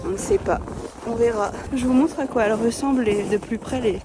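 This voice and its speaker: A woman's voice: fairly high pitch, fairly quick, music in the background. A young woman from France with a French accent from France, chatting casually to the camera as a vlogger. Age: young adult. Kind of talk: casual vlog chat to camera.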